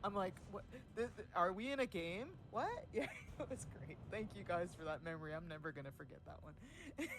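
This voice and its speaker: A man hosting community events, chatting casually on a livestream, laughing often and filling pauses with ums. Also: high-pitched, big pitch swings. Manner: says he has a louder voice than most.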